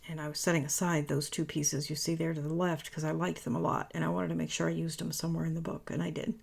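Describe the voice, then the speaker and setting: A woman narrates a craft tutorial in a loose, chatty way, often correcting herself. Her voice is raspy and worn after a long day of talking. It has a heavy gravelly fry and a husky edge. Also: deep voice, flat delivery, fast talker, darkish tone. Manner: rambling, self-correcting, chatty. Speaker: papercraft tutorial host. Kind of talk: casual voice-over tutorial.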